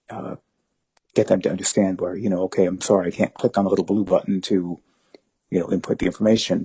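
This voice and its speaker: A man's voice: low-pitched, gravelly, dark tone, husky, dry recording. A man in his fifties from New York with Spanish as his first language, talking in a casual interview and rambling with many fillers. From New York, family from Honduras. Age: 50s. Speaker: guest.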